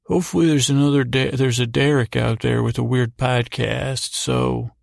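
Male bedtime podcast host: heavy vocal fry, narrow pitch movement, halting and digressive delivery. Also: fairly even intonation, no room sound, clean studio sound. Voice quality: heavily creaky, gravelly. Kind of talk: rambling bedtime podcast monologue.

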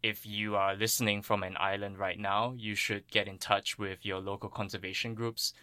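A man's voice: fairly low pitch, level tone, normal speed, pushed and bright, clear, resonant tone, no room sound. A man from Singapore giving earnest, thoughtful explanations as an interviewed plant ecologist.

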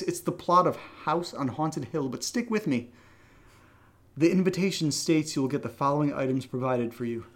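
A man hosting a podcast in casual question-and-answer banter, with a teasing, playful manner. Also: on the high side. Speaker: podcast host.

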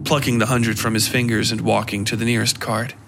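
A man reading scripted dark fiction aloud as its narrator. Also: medium pitch, level tone, brisk, gravelly, noisy background.

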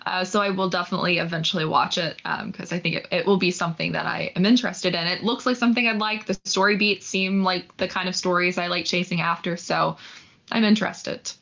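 A woman with a fairly low voice chats casually as co-host of an entertainment show. She comes across as easygoing and agreeable, on a slightly lo-fi recording. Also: fairly even intonation, fairly quick, gravelly, a bit bright. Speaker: co-host of a film news show. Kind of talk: casual chat.

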